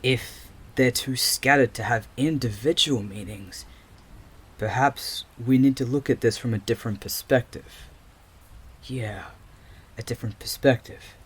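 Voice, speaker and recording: A man acting a hesitant psychic investigator in an audio drama, speaking in a halting, earnest, stammering way. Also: mid-range voice, husky. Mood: thoughtful. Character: hesitant young male psychic investigator with lost memories.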